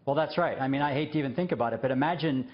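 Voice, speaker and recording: A man's voice: medium pitch, rapid-fire, dull audio. A man appearing as a guest on a news show, speaking earnestly with frequent fillers and short laughs. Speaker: guest, journalist and former diplomat. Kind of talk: remote interview.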